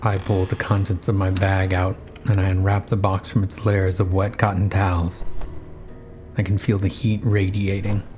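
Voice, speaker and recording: Deep, even-toned male horror narrator. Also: moderate pace, soft background music. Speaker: narrator and writer of horror story. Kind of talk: first-person scripted horror narration.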